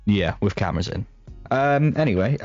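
Man, deep-ish voice, hesitant, lots of fillers, casual podcast chat, muffled lo-fi audio. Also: lively intonation, moderate pace, a little mellow, faint music behind. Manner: rambling, hesitant with many fillers. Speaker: podcast co-host.

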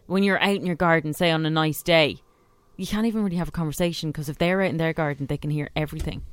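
Irish lady on the radio just chatting easy, clean smooth voice, no room echo. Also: low voice, steady tone, quick pace. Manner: easygoing, chatty. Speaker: radio co-host. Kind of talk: casual radio chat. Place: radio studio.

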